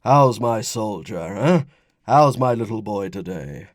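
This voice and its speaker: A man voicing a Roman commander and father in an audiobook, gruff, affectionate and authoritative. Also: lowish voice, big pitch swings, normal speed, dark tone, no room sound, studio quality. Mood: fond. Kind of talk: audiobook character dialogue.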